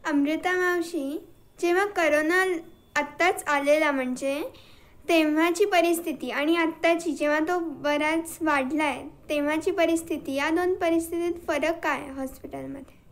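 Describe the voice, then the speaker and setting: Very high-pitched female podcast co-host. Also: fairly even intonation, measured pace. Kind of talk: podcast introduction and questions.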